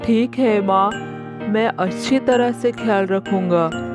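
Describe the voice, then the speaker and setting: A woman voicing a gentle young lion raised by a deer in a cartoon, speaking earnestly in a lower voice. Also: takes it slowly, over music, natural voice.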